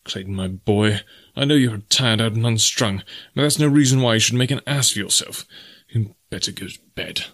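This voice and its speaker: A male reader plays a young English gentleman in an adventure tale, worn out and quick to feel guilt. He speaks rather low, with formal courtesy and earnestness. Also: no room sound, clean studio sound. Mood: rueful.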